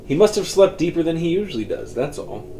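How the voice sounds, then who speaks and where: A man's voice: on the high side, very animated, brisk, dark tone, slight background noise. A man reading a scripted story aloud in an informal, profane storytelling manner. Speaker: author reading own story. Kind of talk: scripted narration, audiobook-style fiction reading.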